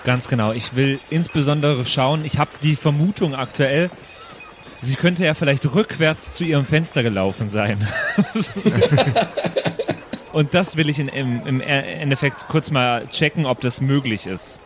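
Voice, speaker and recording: A man's voice: mid-range voice, measured pace. A man improvising casually as a player in a tabletop role-playing game. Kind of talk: casual improvised in-character play. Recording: clear background noise.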